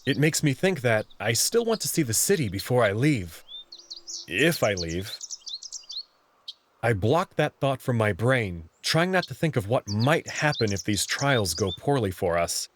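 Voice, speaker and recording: A man reading a visual novel aloud in the first person, in an expressive, nervous way. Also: mid-range voice, unhurried, background noise. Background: background music.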